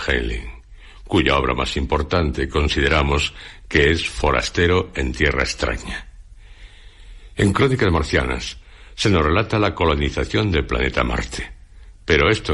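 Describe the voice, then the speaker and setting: A man with a Castilian Spanish accent reading a scripted radio documentary narration in a measured, formal, literary way. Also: very deep voice, fairly bright tone.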